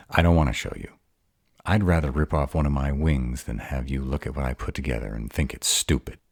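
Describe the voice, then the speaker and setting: A man's voice: rumbling low, gravelly, a little mellow, no echo, studio quality, heavily acted. A man playing a grumpy, insecure were-dragon in a romantic roleplay audio drama, hesitant and defensive as he courts his mate. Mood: nervous, hesitant. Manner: hesitant, defensive, self-doubting.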